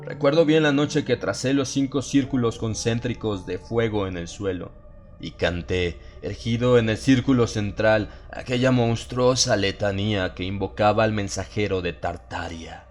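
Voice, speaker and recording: Low-voiced man narrating horror over music. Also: moderate pace. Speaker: audiobook narrator. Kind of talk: dramatized horror audiobook narration. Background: music behind the voice.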